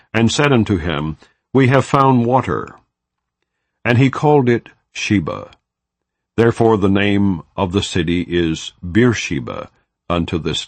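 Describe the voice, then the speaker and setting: A man giving a measured, solemn reading of scripture, recorded in a dry studio. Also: low voice, no room sound. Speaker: Bible reader.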